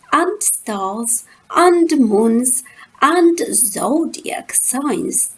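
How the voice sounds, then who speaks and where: Slow, highly expressive woman storyteller. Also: rough recording. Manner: rhythmic, dramatic pauses, drawn-out words. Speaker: storyteller reading a children's picture book. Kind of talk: expressive rhyming storybook narration.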